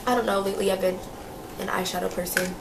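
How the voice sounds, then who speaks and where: A woman's voice: medium pitch, flat delivery, fast, creaky, noisy background. Chatty young woman, slightly nasal. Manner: chatty, lots of fillers and repeats. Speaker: beauty vlogger. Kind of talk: casual product review.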